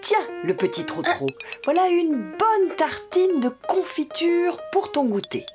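A kindly mother donkey in a preschool cartoon, gentle and playful. Her voice is fairly high and swings up and down, with music clearly behind it. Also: big pitch swings, unhurried, heavily acted. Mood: indulgent. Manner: gentle, playing along.

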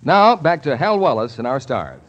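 An American man announces on 1940s radio with scripted banter. His mid-pitched voice swings animatedly, on a dull old broadcast recording. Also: big pitch swings, normal speed.

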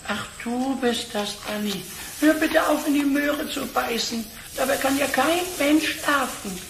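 Fussy scaredy cartoon guy, high voice, hesitant and grumpy, roomy old tape. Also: unhurried, vocal fry, a bit bright, full character voice. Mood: nervous, annoyed. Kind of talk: German audio play for children, cartoon acting. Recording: roomy, noisy old cassette.

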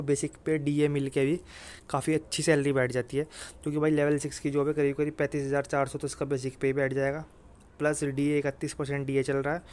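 A man's voice: a little high, a little mellow. A young man casually explaining exam and job details to students in a chatty way, often checking in with them. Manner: chatty, keeps asking "theek hai na bhai". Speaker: exam coaching YouTuber.

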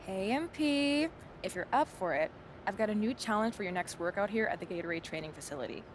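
A woman plays an upbeat trainer in a video game cutscene. Her pitch is average and her delivery is scripted and encouraging. Background noise is clearly heard. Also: expressive, brisk, natural voice. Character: upbeat female training facility trainer offering a challenge.

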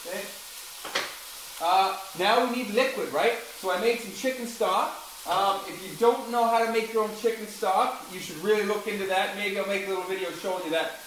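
A man with a fairly high voice talks through a recipe in a home kitchen, chatty and relaxed, turning now and then to his little son. The sound is very echoey, bouncing off the hard kitchen walls, with a faint hiss underneath. He is picked up by a camera some distance from him, not a close mic. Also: normal speed. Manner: chatty, explaining steps while talking to his toddler son. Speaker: home cooking show host. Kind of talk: casual cooking demonstration.

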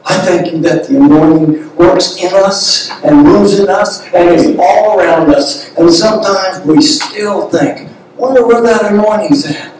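A man leading a prayer, declaring it slowly and deliberately. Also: high voice, a little mellow, slight background noise. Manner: slow, declarative praying. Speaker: prayer leader. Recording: echoey room, recorded from far off.

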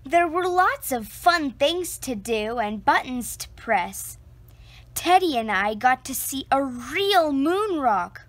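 A woman reading a children's picture book aloud, slow and expressive, close to the camera indoors. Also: no echo. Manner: slow, expressive storytelling with lively pitch.

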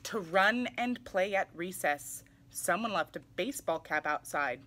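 A woman reading a picture book aloud to young children in an animated, exclamatory way. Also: on the low side, a little slow, slight background noise. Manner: animated, exclamatory, with audible gasps. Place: small indoor room, seated in armchair.

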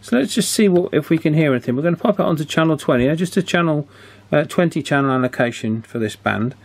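A man's voice: fairly high pitch, animated, fast. A man giving an informal hands-on demonstration, hesitant and thinking aloud as he works. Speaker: radio repair hobbyist presenting. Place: indoor electronics workbench.

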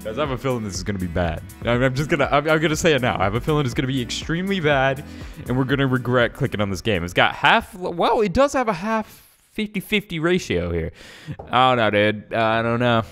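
Animated young man gaming. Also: mid-range voice, quick pace. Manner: jokey, slangy, calls viewers "guys" and "dude". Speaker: gaming video host. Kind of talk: excitable casual gameplay commentary. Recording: music behind the voice. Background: music.

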